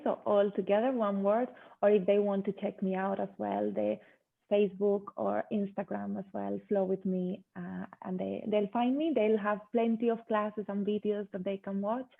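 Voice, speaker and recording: Casting: woman, Spanish accent, enthusiastic talkative interview guest, gravelly fry at phrase ends, thin telephone-like call audio. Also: mid-range voice. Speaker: guest, yoga instructor. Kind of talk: conversational interview.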